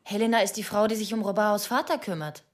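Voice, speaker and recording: An actress in a radio drama playing a suspicious, obsessive young wife giving testimony in terse, insistent fragments. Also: female voice, medium pitch, fast talker, lightly acted. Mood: insistent, tense. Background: faint music.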